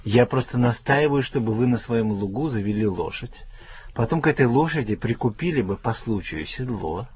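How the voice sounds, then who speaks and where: A man's voice: mid-range voice, animated, normal speed, darkish tone, breathy edge. Bantering male radio host, lo-fi. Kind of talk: radio interview. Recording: dull lo-fi radio recording with faint ambience.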